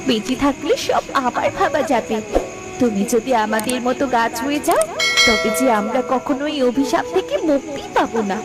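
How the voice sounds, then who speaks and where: A woman's voice: high-pitched, animated, normal speed, loud music behind the voice. A woman voicing an anxious, protective enchanted eggplant plant in a cartoon, with an urgent, fretful manner. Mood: urgent, worried. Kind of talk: cartoon voice acting.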